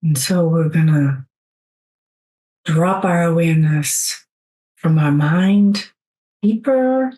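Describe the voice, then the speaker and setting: Slow, low-voiced woman guiding meditation. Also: low-quality recording. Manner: soothing, unhurried, gentle instruction with long pauses. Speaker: meditation guide. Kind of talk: guided meditation.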